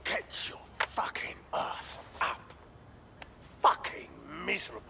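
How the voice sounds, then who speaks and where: A man's voice: takes it slowly, bright, forceful tone, whispered. A man playing a vicious, impatient human trafficker in a video game, coarse and bullying as he barks orders. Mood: angry, contemptuous.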